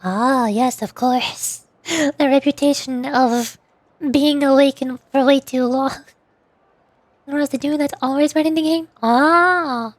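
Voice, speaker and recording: High-pitched giggly woman streamer. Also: fairly even intonation, unhurried, darkish tone, no echo. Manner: giggly, playful teasing. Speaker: VTuber livestream host. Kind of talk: casual chat with stream viewers while playing a game.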